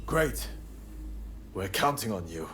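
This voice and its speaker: A man playing a cool-headed, ruthless rebel plotter in a video game, measured and commanding. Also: fairly low pitch, big pitch swings. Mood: cold, resolute. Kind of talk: video game cutscene acting. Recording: background noise under game dialogue.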